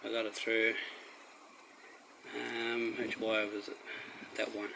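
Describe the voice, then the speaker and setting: A man's voice: on the low side, completely flat delivery, slow pace, creaky. An Australian man giving a casual, rambling, plain-spoken walkthrough of a hands-on job, recorded on location around a car. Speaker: vlogger showing a DIY car radio install. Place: in and around a car under a carport. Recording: noisy handheld recording on location.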